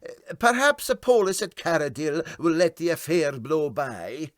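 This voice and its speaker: Gloomy, pathetic Scottish deckhand mate, played by a male reader, moaning agreement, higher voice, animated storybook. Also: moderate pace, no room sound, studio quality, close to an everyday voice. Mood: pathetic regret. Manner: plaintive, moaning. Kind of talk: comic storybook character reading.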